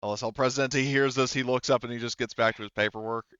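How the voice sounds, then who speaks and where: Clear-voiced man running a tabletop game. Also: medium pitch, fairly even intonation, a bit bright, no echo, highs cut off. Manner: halting, conversational, sweary. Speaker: game master. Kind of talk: casual tabletop game narration.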